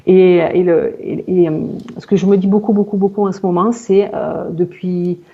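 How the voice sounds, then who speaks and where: A woman in her forties giving a halting personal account in conversation, searching for words and often repeating herself. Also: on the low side, steady tone, slightly rough, narrow, tinny audio. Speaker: client in a hypnosis session interview.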